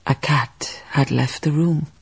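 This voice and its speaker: An actress playing a reflective woman memoirist, narrating a radio drama in a measured, lyrical way, savouring her phrases. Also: sits low, steady tone, gravelly, breathy edge, full character voice. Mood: wistful. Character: reflective, poetic woman memoirist recalling colonial farm life.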